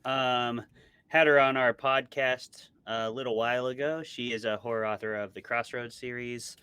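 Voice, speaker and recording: A man's voice: medium pitch, fairly even intonation, normal speed, sharp tone, clear, resonant tone, dry recording. A man being interviewed as an author, talking in a casual, explanatory and conversational way. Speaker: interviewed author, podcast co-host.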